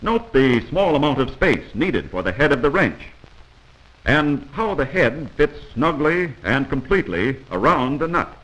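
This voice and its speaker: A man's voice: medium pitch, sing-song, measured pace, a bit bright, poor audio. An American man narrating a 1940s instructional film in a commanding, corrective manner, on a vintage film soundtrack.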